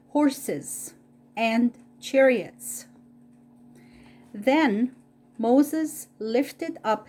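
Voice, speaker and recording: Female storyteller, Egyptian accent, native Arabic speaker. Very slow, careful read-aloud, highish mellow voice. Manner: careful, halting second-language reading. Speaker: storyteller reading Bible stories.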